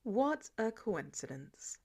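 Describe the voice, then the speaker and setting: A woman's voice: a little high, very animated, slow, a little mellow, slightly rough, exaggerated voice. A woman voicing a confident, nosy male billionaire boss, nonchalant and probing, in an audiobook. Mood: smug, nonchalant. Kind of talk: audiobook character dialogue.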